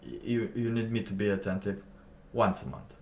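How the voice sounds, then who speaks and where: A man streaming alone, reacting to a clip with slow, musing casual commentary and laughing to himself. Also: lowish voice, steady tone, slight background noise.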